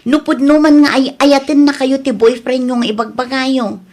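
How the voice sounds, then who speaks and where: An actress with an Ilocano Filipino accent playing a concerned, scolding adult daughter in an audio drama, earnest and admonishing. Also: female voice, high voice, level tone, normal speed, a little mellow, natural voice.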